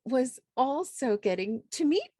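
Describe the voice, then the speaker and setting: Unhurried woman hosting, close dry sound. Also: high voice, very animated, dark tone, breathy edge, dry recording. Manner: effusive, reflective, halting with pauses. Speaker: host and poet. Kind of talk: warm, informal event introduction.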